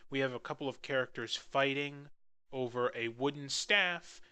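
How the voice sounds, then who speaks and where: A young man with a bright, crisp voice at a middling pitch talks casually through an editing tutorial. He is offhand and self-deprecating, recorded close on the mic as a voice-over. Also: normal speed. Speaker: audio drama editor giving a tutorial.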